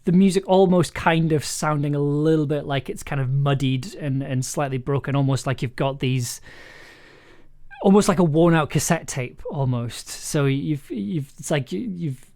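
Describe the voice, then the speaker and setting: A man with a raspy, throaty voice, talking casually and rambling through a livestream while producing an audio drama. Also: a little high, moderate pace, a little mellow. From United Kingdom. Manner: rambling, many restarts and fillers. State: raspy, throaty voice after illness. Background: music.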